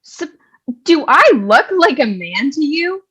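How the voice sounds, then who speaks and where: A woman's voice: high-pitched, very animated, slow, bright, forceful tone, full character voice. A woman playing a brash, sarcastic, scolding nun narrator in a comic stage reading. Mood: indignant. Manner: scolding, sassy. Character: brash, sarcastic nun who takes over storytelling.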